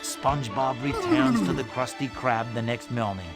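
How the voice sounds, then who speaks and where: A man playing a grandiose, dryly amused storybook narrator for a cartoon game, florid and deliberate. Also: mid-range voice, huge pitch swings. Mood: storytelling, dramatic. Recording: music playing behind the voice.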